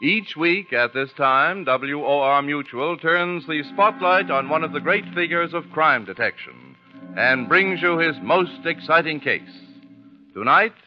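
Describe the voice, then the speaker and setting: A man with an American accent announcing and hosting an old-time radio show. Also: on the high side. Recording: muffled old radio broadcast. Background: music.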